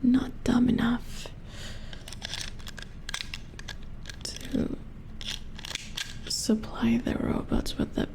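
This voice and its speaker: A woman acts out a female engineer who repairs robots in an ASMR roleplay. She whispers slowly and haltingly, puzzled and a little grumbly, muttering to herself with a creaky edge. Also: on the high side, little pitch movement, heavily acted. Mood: mildly scornful. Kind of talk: soft-spoken ASMR roleplay.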